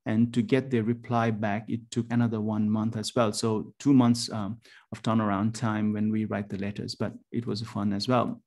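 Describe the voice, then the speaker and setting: A man from Nepal in his forties, a university lecturer giving a presentation over a video call, talking haltingly with many ums and restarts. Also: lowish voice, level tone, darkish tone. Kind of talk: webinar presentation.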